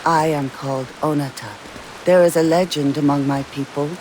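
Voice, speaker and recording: An actor playing a solemn, grateful Native elder man who speaks formally and in a measured way, like a storyteller in a video game. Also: a little high, steady tone, noisy background, lightly acted. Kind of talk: video game dramatic acting. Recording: music and rain behind the voice.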